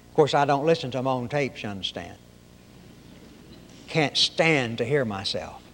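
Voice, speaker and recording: A man from Oklahoma with a high-pitched nasal voice, preaching a sermon in folksy storytelling fashion. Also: animated, normal speed, fairly bright tone, long reverb tail, a little hiss or hum. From Oklahoma, raised in Arkansas.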